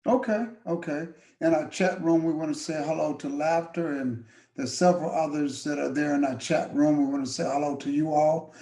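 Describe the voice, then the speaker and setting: A man's voice: a little high, fairly even intonation, normal speed, hardly any pauses, mellow. A male host of a call-in talk show, chatting in a friendly, conversational way and often affirming the guest. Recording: echoey room with faint music.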